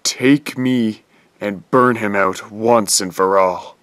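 Radio drama lead, male actor: haunted ex-prosecutor, low gravelly voice, slow grave pleading over music. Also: fairly bright tone, no room sound. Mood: desperate pleading. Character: desperate male ex-prosecutor losing himself, pleading. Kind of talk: audio drama acting.

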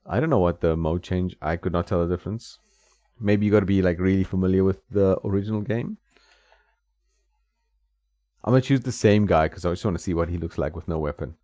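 Low-voiced man chatting over a retro game. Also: normal speed, pauses often, warm tone, no echo. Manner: chatty, laughs at the game, talks to the screen. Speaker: gaming channel host. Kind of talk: casual gameplay commentary. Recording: slightly dull, dry sound with game music behind.

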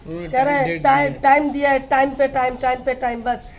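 A woman's voice: high voice, level tone, moderate pace, muffled recording, a little hiss or hum. Distressed woman in her fifties, pleading. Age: 50s. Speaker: resident. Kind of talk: street interview. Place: street outdoors.

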